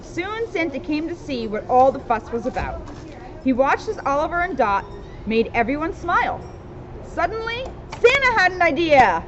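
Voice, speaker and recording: A woman's voice: sits high, very animated, moderate pace. A woman reading a children's storybook aloud with casual asides, recorded outdoors. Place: outdoors in a town street. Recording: dull outdoor recording with loud street noise.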